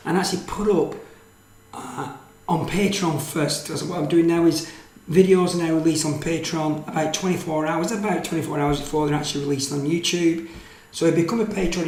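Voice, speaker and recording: A man's voice: on the high side, a little mellow. A man giving an informal tutorial narration, explaining things casually as a presenter. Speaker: presenter of electronics tutorial videos. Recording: roomy, echoey microphone sound.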